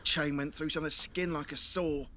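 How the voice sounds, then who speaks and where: An actor playing an uneasy, deferential looter's underling who talks hesitantly in a horror audio drama. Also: male voice, a little high, fairly even intonation, moderate pace, pushed and bright, slight background noise. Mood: uneasy, morbid.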